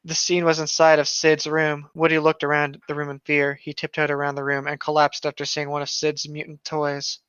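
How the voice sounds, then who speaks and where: Man, bright forceful tone, dry room, slightly dull lo-fi recording, playful read-aloud banter. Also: a little high, monotone, normal speed, few pauses, smooth, dry recording. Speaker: podcast co-host reading and mocking internet horror stories. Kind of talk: reading aloud with casual joking banter. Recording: slightly dull, lo-fi home microphone.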